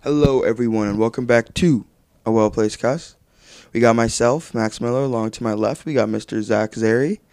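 A man's voice: medium pitch, moderate pace. Casual young man co-hosting a podcast. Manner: animated, loose, swears casually. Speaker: podcast co-host.

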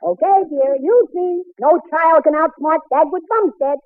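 A man's voice: very high voice, normal speed. A man with an American accent playing a bumbling, overconfident suburban husband and father in 1940s radio comedy, stammering and muttering. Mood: cocky. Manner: flustered, stammering, muttering asides. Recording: muffled, narrow-band old radio recording.

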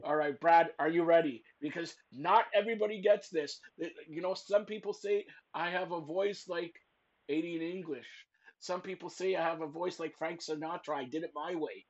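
A man hosting a wrestling podcast, talking loudly and teasing his guests, rambling with lots of fillers. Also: fairly high pitch, normal speed, highs cut off. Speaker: podcast host. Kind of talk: loud joking wrestling podcast chat.

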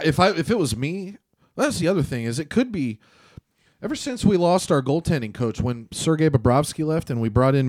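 A man's voice: medium pitch, dark tone, no echo, clean studio sound. A man co-hosting a sports podcast, talking bluntly and swearing often. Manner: blunt, profane, rambling. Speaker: podcast co-host. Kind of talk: casual sports chat.

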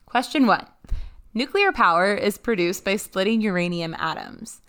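A young woman hosting a podcast, chatting and teasing in a wry, brisk way and reading quiz questions aloud. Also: mid-range voice, animated, a bit bright, smooth. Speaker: podcast host.

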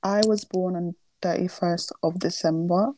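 A woman's voice: lowish voice, fairly even intonation, warm tone, a little hiss or hum, low-quality recording. Playful young woman co-hosting a chat. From Nigeria. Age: early 20s. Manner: playful, joking, quick asides. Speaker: podcast co-host. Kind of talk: casual chat.

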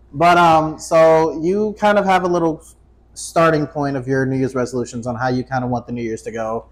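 A man's voice: mid-range voice, normal speed, long pauses, a little hiss or hum, low-quality recording. A man in his twenties chatting casually as a podcast host, joking and swearing freely. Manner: profane, joking, animated self-interruptions. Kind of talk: casual chat.

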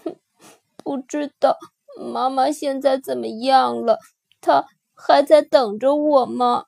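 A woman voicing a tearful, sweet little boy spinosaurus in a children's storybook, with a high squealing childlike voice. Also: fairly even intonation, slow pace, vocal fry, a little mellow, no room sound, clean studio sound, exaggerated voice. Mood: sad.

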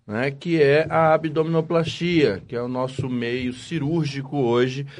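Relaxed surgeon explaining on a podcast. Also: male voice, medium pitch, measured pace. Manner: relaxed, explaining with fillers and restarts, swears jokingly. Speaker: plastic surgeon, co-host. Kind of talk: casual explanatory chat.

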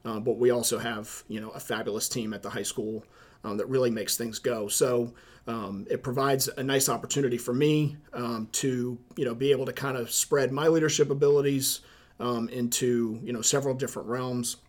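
Man, middle-aged, Southern US accent, school principal, measured, lots of ums. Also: mid-range voice, fairly even intonation. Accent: Southern US (Charleston). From South Carolina, USA. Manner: measured, many ums and uhs. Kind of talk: podcast interview.

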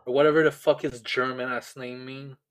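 A man hosting a casual chat in a halting, stammering way, with blunt swearing. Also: medium pitch, little pitch movement. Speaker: podcast host.

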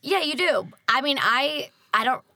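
A young woman in her twenties telling stories in a relaxed casual chat, laughing often. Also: high voice, unhurried, dry recording, clean studio sound. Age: 20s. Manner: easygoing storyteller, laughs often. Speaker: guest.

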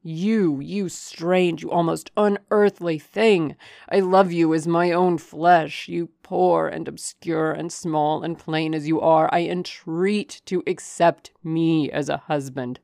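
A low, gravelly gentleman lover acted by a woman pours out urgent, commanding declarations. He is possessive and desperate, in the grand declamatory manner of a dramatic audiobook, recorded close and dry. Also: fairly even intonation, takes it slowly, no echo, heavily acted. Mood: passionate, insistent. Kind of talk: dramatic audiobook character reading.

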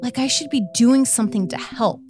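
A woman playing a needy, besotted lover in a theatrical dramatic reading, with a yearning, drawn-out delivery. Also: fairly high pitch, close to an everyday voice. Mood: searching, lost. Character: besotted, needy woman sending secret love texts. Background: music.

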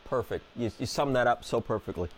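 A man's voice: mid-range voice, expressive, brisk, a loud crowd. A man hosting a radio interview show, an enthusiastic and chatty veterinarian who has a cold.

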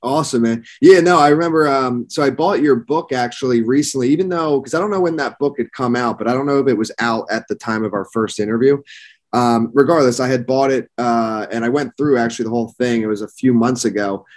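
Male podcast host, mid-pitched, casual and upbeat, filler-heavy. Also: a little fast. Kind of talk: podcast interview.

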